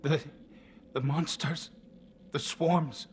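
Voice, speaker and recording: A man's voice: on the high side, fairly even intonation, drawn-out words, slight background noise. A man voicing a delirious, frightened young alien pilgrim in a video game, with a thin, shaky voice and broken, repetitive phrases. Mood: haunted, fearful. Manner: broken, repetitive clipped fragments.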